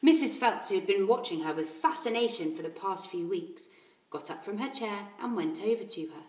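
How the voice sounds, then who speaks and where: Female reader, schoolteacher type: expressive storybook narration, animated intonation, roomy sound. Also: medium pitch, normal speed. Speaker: teacher reading aloud. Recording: roomy echo.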